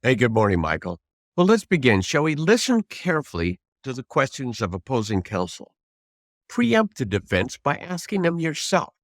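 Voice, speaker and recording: A man's voice: medium pitch, huge pitch swings, moderate pace, no room sound, studio quality. A man in his seventies, a veteran trial lawyer, giving unscripted advice in an emphatic, reflective way and restating points for stress. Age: 70s.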